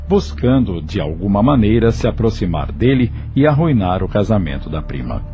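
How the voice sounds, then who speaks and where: A man with a Brazilian accent narrating a dramatic audio novel from a script. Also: lowish voice. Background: soft music.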